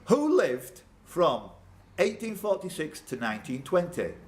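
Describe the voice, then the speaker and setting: A man's voice: on the high side, huge pitch swings, measured pace. A man hosting a live pub quiz, reading out questions with jokey, off-the-cuff banter and laughing at his own jokes. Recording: live pub room with background noise.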